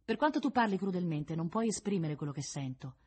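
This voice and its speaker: A woman voicing an earnest, remorseful gentleman in a storybook, pleading and insistent. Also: fairly low pitch, animated, fairly quick, breathy edge, voice pushed up.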